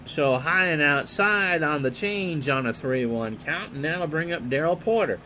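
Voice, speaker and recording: A man calling a replayed baseball game play-by-play in a calm, unhurried way, reading player stats and chatting with viewers. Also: fairly high pitch, slight background noise. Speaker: play-by-play announcer and streamer.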